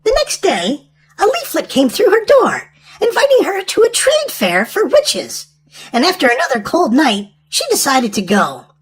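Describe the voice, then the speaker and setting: High, wildly animated woman storyteller. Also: moderate pace. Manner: animated, exaggerated sing-song delivery to children. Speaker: children's storytime host and reader. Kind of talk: read-aloud storybook narration for young children.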